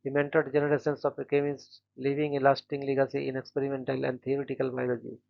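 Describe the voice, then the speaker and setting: Man, monotone, gravelly, dull lo-fi sound, scripted reading, trips over hard names. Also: medium pitch, fast talker. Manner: flat, steady reading with stumbles on names. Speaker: host of a daily events channel.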